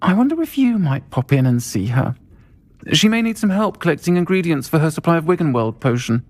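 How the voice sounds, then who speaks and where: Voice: medium pitch, big pitch swings, brisk, a little mellow. A performer playing a kindly, caring witch who keeps a pub in a video game, speaking with a British accent in a familiar, considerate manner. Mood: caring, confiding.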